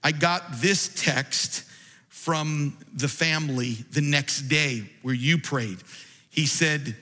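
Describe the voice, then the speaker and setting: A man preaching a sermon in a large church, impassioned and building to shouts. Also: fairly high pitch, slow pace. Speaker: pastor. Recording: long reverb of a large hall.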